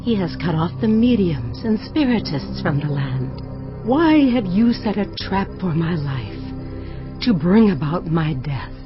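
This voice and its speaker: A wary female medium in a Bible radio-style drama. Her delivery is breathy, drawn out and highly animated in pitch. Music plays clearly behind her on a slightly muffled recording. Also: a little slow. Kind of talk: dramatized audio Bible acting.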